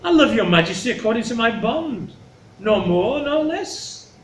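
Man playing an earnest, high-voiced young princess. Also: sing-song. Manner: plain, measured, earnest. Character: honest, dutiful youngest princess refusing to flatter. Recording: roomy, distant mic, faint noise.

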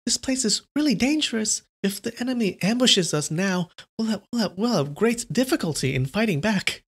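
A man's voice: high voice, lively intonation, moderate pace, a bit bright, slightly rough, voice pushed up, full character voice. A man voicing a nervous young female disciple who stammers anxiously. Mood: fearful.

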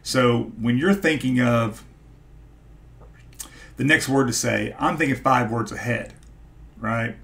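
A man in his forties with a stutter, talking casually to camera in a halting way, searching for his words. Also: mid-range voice, creaky, fairly bright tone. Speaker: YouTube host, stock investor. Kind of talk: casual vlog talk to camera. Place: small home office, indoors.

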